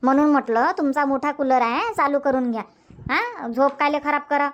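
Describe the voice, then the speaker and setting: A woman's voice: high-pitched, moderate pace, lo-fi sound, close to an everyday voice. A woman voicing a bossy, fussing village mother-in-law in a cartoon comedy, colloquial and repetitive, with a rural Varhadi Marathi accent. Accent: rural Varhadi Marathi from Vidarbha.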